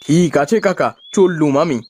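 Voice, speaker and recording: High-pitched, kindly cartoon farmer played by a man. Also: normal speed, darkish tone, slight background noise, close to an everyday voice. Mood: respectful. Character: Madan, kindly, eager village rice farmer and husband. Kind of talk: Bengali cartoon story acting.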